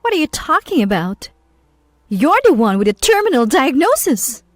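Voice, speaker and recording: A woman's voice: high voice, big pitch swings, normal speed, no echo, faint music behind, pitched above the performer's own voice. A woman voicing a disdainful, long-suffering wife in a narrated story, blunt and confronting. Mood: cold, firm. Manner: pointed, confronting.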